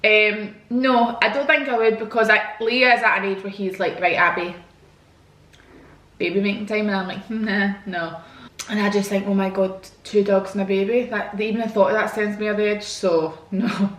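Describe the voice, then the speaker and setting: A woman's voice: mid-range voice, steady tone, normal speed, fairly bright tone, roomy sound. A woman, chatting frankly and casually to her viewers while answering their questions. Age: 20s. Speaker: vlogger. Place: home, indoors. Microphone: camera mic.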